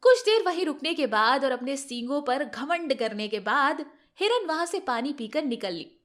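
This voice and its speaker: A woman tells children's moral stories in a high-pitched voice. Her delivery is scripted narration with lively, animated swings in intonation. She sounds like a storyteller addressing young listeners. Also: moderate pace.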